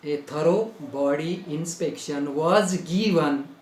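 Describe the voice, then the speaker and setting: An Indian English-accented man teaches grammar in slow, deliberate dictation. He spells words out one letter at a time and repeats each phrase. His fairly high voice rings in an echoey room. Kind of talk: grammar lesson.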